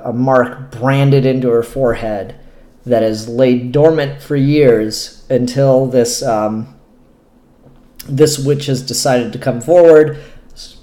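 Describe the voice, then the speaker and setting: A man's voice: medium pitch, dark tone. Echoey-sounding man reviewing comics, candid and rambling. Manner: candid, rambling, casually sweary. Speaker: comic book reviewer, show host. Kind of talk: casual unscripted review vlog. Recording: echoey room.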